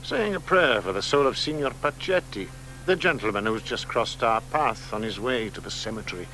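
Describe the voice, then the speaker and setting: An actor playing a gentle, pious gondolier who was once a choirmaster, in a radio play. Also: male voice, mid-range voice, expressive, moderate pace, low-quality recording. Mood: apologetic. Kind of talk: radio drama acting. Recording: radio play with faint hiss.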